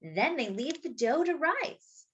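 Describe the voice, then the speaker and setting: A woman with a high, lively voice reads a picture book aloud to young children. She takes her time, lingering on important words with exaggerated emphasis. Between sentences she adds short, simple explanations for her listeners. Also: slow pace, highs cut off, a little hiss or hum. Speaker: children's story time reader and host.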